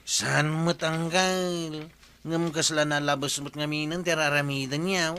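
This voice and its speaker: A man's voice: on the high side, steady tone, unhurried, pushed and bright, smooth. An actor playing a nagging, exasperated younger man with an Ilocano accent, scolding and complaining in a comedy radio drama.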